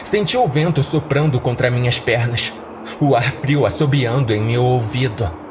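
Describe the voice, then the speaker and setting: A man's voice: mid-range voice, moderate pace, background noise. A man narrating a scripted horror story in a dramatic audiodrama style, as a podcast storyteller.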